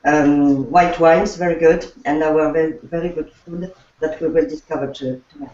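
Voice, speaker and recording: A woman's voice: sits low, fairly even intonation, moderate pace, darkish tone. A woman with an Alsatian French accent greeting viewers in careful, hesitant English. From Alsace, France. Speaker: guest. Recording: echoey, distant, dull sound.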